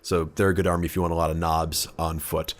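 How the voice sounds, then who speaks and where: A man's voice: deep voice, flat delivery, fast. A man co-hosting a hobby podcast, chatting informally in a blunt, sweary way. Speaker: podcast co-host. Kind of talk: casual hobby podcast chat.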